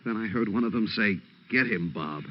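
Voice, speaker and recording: A man's voice: fairly low pitch, moderate pace, slight background noise, lightly acted. An actor playing an uneasy, hesitant man tagging along with a detective in an old radio drama. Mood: dazed, alarmed. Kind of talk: 1940s-50s radio drama acting. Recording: dull vintage radio recording with music.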